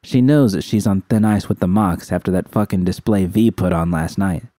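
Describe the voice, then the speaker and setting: Brash, foul-mouthed young woman, audiobook dialogue, played by a man. Blunt, slangy, dark-toned. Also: low voice, moderate pace, dry recording, studio quality, lightly acted. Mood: amused, blunt.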